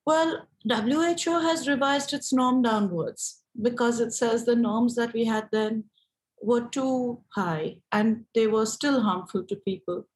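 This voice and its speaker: Higher-pitched Indian woman, measured and thoughtful. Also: rough recording. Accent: Indian English. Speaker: activist being interviewed. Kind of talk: reflective interview conversation.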